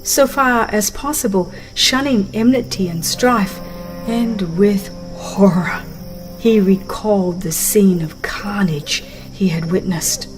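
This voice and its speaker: A woman reads scripted religious narration at a slow pace. Her delivery is solemn and measured, like a dramatized audiobook, with music clearly playing behind her. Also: mid-range voice, low-quality recording. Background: background music.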